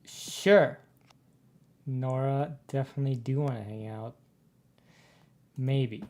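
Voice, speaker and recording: Male co-commentator for a game playthrough: unhurried, mid-range, brief casual remarks. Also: medium pitch, steady tone, darkish tone.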